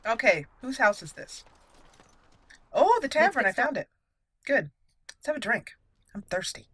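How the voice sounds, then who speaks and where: Bright-voiced woman narrating her game, unhurried. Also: on the high side, slightly rough. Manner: expressive, chatty, thinks aloud. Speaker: gaming video host. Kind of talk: playful let's play commentary with roleplay.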